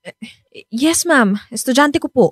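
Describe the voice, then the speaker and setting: High, emotional distressed young teacher. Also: female voice, lively intonation, slow pace, slightly rough, dry recording, clean studio sound, full character voice. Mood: desperate pleading. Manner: emotional, pleading. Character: distressed young female teacher in a forbidden romance. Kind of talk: melodramatic radio drama acting. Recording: loud dramatic music bed behind.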